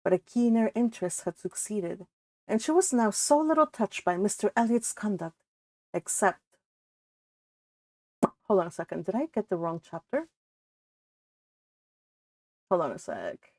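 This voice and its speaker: A woman hosting a book read-along, moving between casual, chatty talk and expressive reading aloud, with informal asides. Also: mid-range voice, measured pace, pauses often, dry recording. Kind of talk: casual livestream chat and expressive audiobook-style reading aloud.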